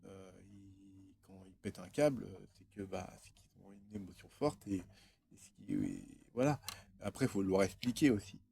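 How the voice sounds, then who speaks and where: A man's voice: low voice, very animated, normal speed, gravelly, dark tone. A man in his thirties from France, talking in a rambling, hesitant personal monologue full of fillers and restarts. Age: 30s. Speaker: vlogger.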